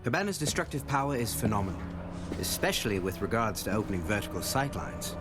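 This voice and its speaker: An actor playing a male special-forces director in a video game, giving formal, measured, scripted appraisals of his operatives. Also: fairly low pitch, very animated. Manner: formal, measured, deliberate delivery. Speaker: game announcer introducing operators. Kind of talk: scripted narration. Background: music.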